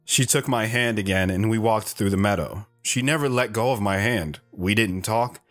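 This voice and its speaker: A man's voice: on the low side, normal speed, close to an everyday voice. An actor playing a lone wanderer who recounts his romance in an earnest, hesitant, wistful way. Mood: wistful, reflective. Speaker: storytelling guest on a radio show. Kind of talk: dramatic first-person narration. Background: plucked guitar music.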